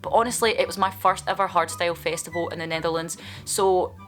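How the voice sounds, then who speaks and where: A woman's voice: on the low side, steady tone, brisk. A woman, vlogging in a chatty, candid way as she answers viewer questions, with playful asides. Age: 20s.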